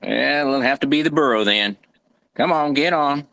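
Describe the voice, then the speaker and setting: A man playing a folksy, wry frontier doctor and former army medic, gravelly and drawling, in a table read of a western. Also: medium pitch, moderate pace, pushed and bright. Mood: wry, matter-of-fact.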